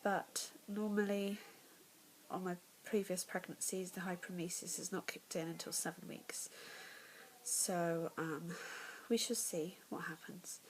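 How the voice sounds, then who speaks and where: A woman's voice: lowish voice, little pitch movement, measured pace, a little hiss or hum, low-quality recording. A young English woman vlogging casually about her pregnancy, congested and nasal, chatty and candid, often dropping to a whisper, at home in the evening. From England.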